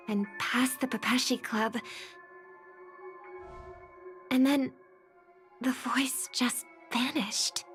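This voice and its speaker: An actress playing a gentle, thoughtful young woman in a video game, speaking politely and pensively, with music behind her. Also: fairly high pitch. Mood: pensive, puzzled. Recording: background music under voice.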